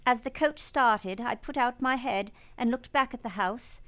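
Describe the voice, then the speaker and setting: A woman playing an earnest young orphan who narrates her childhood in measured first person for a radio play. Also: on the high side, bright, forceful tone. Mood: reflective.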